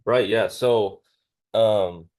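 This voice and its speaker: Deep-voiced young man chatting casually. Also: extremely slow, a little mellow. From California, United States. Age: about 20. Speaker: interviewee, young cleaning business owner. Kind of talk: casual chat.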